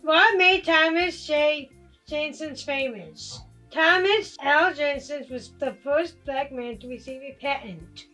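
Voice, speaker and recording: A woman's voice: sits high, slow pace, bright, forceful tone, faint music behind, low-quality recording. A woman reading a short biography aloud from a script.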